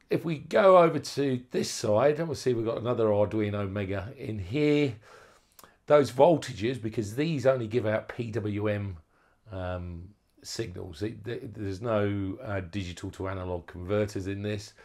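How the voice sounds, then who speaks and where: Low-pitched man, halting hobbyist walkthrough. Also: a little mellow. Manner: hesitant, unscripted, halting phrasing. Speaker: amateur radio hobbyist presenting a project. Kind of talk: informal hands-on electronics walkthrough.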